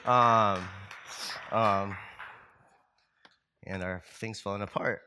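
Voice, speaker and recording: Male pastor, scratchy, bright edge; relaxed, rambling preaching style. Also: fairly low pitch, extremely slow. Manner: casual, loose delivery with many fillers and restarts. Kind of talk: conversational sermon.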